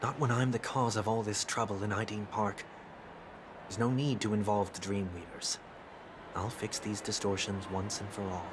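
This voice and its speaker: A man playing a composed, courteous young idealist in a video game, speaking in a measured, formal, earnest way. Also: lowish voice, steady tone, a crowd in the background, lightly acted. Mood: resolute. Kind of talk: voiced game dialogue.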